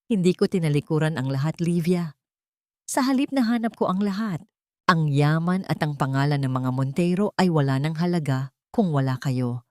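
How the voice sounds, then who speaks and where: A woman's voice: low voice, a little mellow, no echo, close to an everyday voice. A woman plays a devoted husband in an audio story, repentant and tender. The delivery is earnest and emotional, recorded close and dry. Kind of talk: dramatic audio story dialogue.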